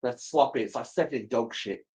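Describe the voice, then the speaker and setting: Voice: mid-range voice, a little fast, a little mellow, slightly rough. A co-host chatting casually on a livestream, cheeky and full of joking interjections. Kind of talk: bantering casual chat on a livestream.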